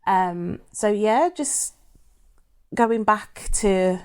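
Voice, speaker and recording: A woman guest in a casual chat at home, talking slowly and laughing often. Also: medium pitch, smooth. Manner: slow, laughing, teasing.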